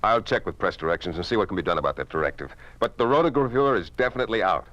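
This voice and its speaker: Low-voiced, blustering newspaper boss. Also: male voice, expressive, very fast, a bit bright, muffled recording. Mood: evasive, placating. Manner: brusque, placating, self-satisfied. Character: blustering, evasive newspaper publisher, a man. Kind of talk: 1950s radio drama acting.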